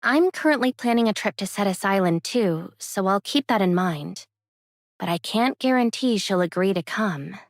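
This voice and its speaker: A woman's voice: medium pitch, lively intonation, normal speed, no room sound, studio quality, close to an everyday voice. A woman playing a composed, considerate young heroine in an anime game, polite and straightforward. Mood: curious, practical. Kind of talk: scripted anime game dialogue.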